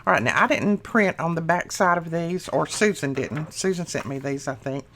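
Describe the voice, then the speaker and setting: A middle-aged woman hosting a craft channel, chatting casually through a tutorial with a slightly rough morning voice, laughing at her own mistakes. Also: low voice, expressive, moderate pace, fairly bright tone, a little hiss or hum.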